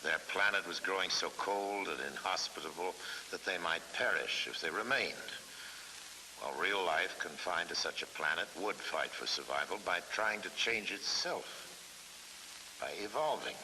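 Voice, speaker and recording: A middle-aged man narrating a scripted science documentary in a measured, dramatic way, with weighty pauses. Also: low-pitched, lively intonation. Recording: hissy old film soundtrack.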